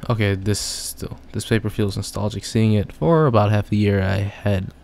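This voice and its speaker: A man's voice: lowish voice, steady tone, normal speed, vocal fry, a little mellow. A young man playing a game casually, reading its text aloud and joking about it. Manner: chatty, reads text then jokes about it. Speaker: gamer.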